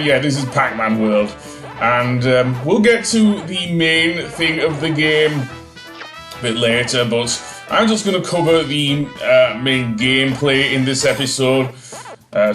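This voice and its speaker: A man giving casual, rambling video game commentary with a halting delivery. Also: medium pitch. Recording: roomy echo, faint music behind.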